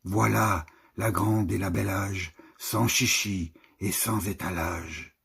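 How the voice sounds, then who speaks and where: A man reciting poetry in deliberate, measured short phrases with pauses between them. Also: deep voice, fairly even intonation, creaky, a bit bright, slightly rough. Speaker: poetry reader.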